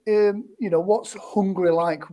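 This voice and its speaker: A man's voice: sits high, fairly even intonation, normal speed, mellow. A man hosting an interview, asking loose, rambling questions full of restarts and fillers. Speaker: interview host.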